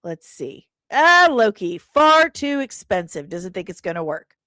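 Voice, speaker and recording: A woman's voice: medium pitch, very animated, measured pace, pushed and bright, no echo, studio quality. A woman hosting an entertainment livestream, talking casually and playfully as she reads and answers chat comments. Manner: playful, chatty, irreverent, with pet names and slang. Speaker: host of an entertainment news livestream.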